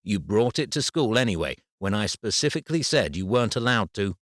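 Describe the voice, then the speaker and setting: A gentle, worried father from a storybook, played by a male narrator. His low voice coaxes and reassures with lively inflection. The sound is dry and close. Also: fairly quick, no echo. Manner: soft, coaxing and reassuring.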